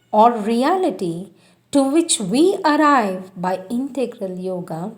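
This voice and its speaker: A woman reading a spiritual text aloud in a solemn, deliberate, devotional way, with emphatic phrasing and frequent pauses. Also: mid-range voice. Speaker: reader of spiritual texts.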